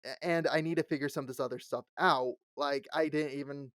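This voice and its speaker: A man's voice: a little high, steady tone, brisk, fairly bright tone, no echo, studio quality. A man in his late twenties, co-hosting a podcast in casual chat, laughing often and acting out the people he quotes. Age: late 20s. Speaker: podcast co-host.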